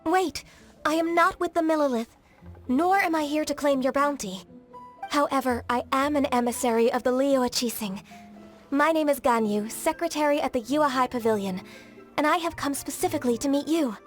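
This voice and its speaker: An actress playing a dutiful young secretary and emissary in a game, formal, courteous and earnest. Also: female voice, sits high, normal speed, soft background music, natural voice. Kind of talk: English game dub cutscene acting.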